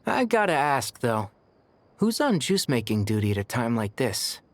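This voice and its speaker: An actor playing a steady, serious, reassuring male leader in a video game, composed and brief in his speech. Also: mid-range voice, moderate pace, slight background noise, natural voice. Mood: deadpan curiosity.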